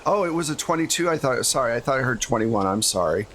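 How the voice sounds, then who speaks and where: A man with a medium-pitched voice acts as game master, narrating a tabletop roleplaying game in a relaxed conversational style. A faint rain-like noise sits behind him. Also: fast talker. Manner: casual, conversational.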